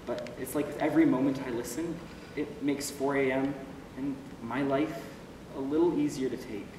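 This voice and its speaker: A man's voice: medium pitch, steady tone, normal speed, natural voice. A man playing a despairing but wry lonely young man in a confessional stage monologue. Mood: wistful, hopeful. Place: stage play recorded in a hall. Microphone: distant camera or room microphone. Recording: echoey hall from far off, noisy.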